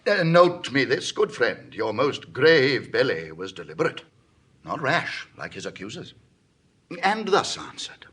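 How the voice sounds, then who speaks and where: An actor playing a genial, wily Roman patrician in a Shakespearean drama, with a husky voice and a teasing, theatrical delivery. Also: male voice, medium pitch, very animated, unhurried, fairly bright tone, full character voice. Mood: teasing, deliberate. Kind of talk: classical Shakespearean stage acting.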